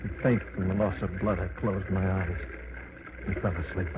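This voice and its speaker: A man's voice: low voice, fairly even intonation, normal speed, close to an everyday voice. An actor voicing a frightened captive man, old radio. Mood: tense. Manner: tense, dramatic storytelling. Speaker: first-person narrator of a radio play. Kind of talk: old-time radio drama narration. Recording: scratchy, tinny old radio broadcast.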